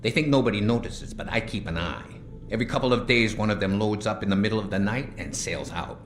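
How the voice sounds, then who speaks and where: A man plays a middle-aged video game crime boss with a low voice. He is sardonic and world-weary, taunting people in a deliberate, menacing way. The room around him echoes. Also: expressive, natural voice. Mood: coolly scheming. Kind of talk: video game dramatic acting. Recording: roomy echo, faint background noise.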